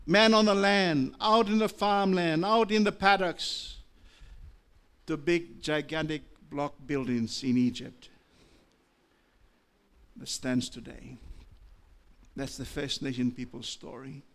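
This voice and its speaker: An Australian pastor preaching with a gravelly, slightly raised voice. He moves between low, measured storytelling and loud, impassioned peaks. His words come in short, repeated phrases. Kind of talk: preaching a sermon.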